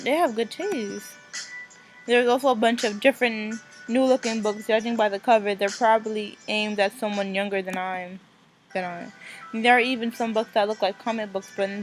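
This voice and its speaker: A woman hosting a visual novel let's play, reading aloud with casual, expressive reactions and stumbling over and repeating phrases. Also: medium pitch, low-quality recording. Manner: expressive, reacts in outbursts between read passages. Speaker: gaming video host. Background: music.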